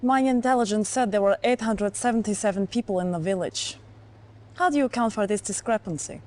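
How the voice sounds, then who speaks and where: A woman's voice: medium pitch, brisk, a little mellow, close to an everyday voice. An actress playing a cool, exacting female Soviet intelligence officer, precise and challenging, in a video game cutscene. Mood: probing.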